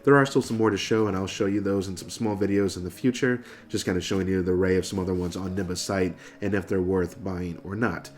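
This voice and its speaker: A man's voice: low-pitched, steady tone, fast talker, hardly any pauses. An American man in his thirties, nasal from getting over a cold, casually explaining things to camera as a video host. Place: furnished room indoors.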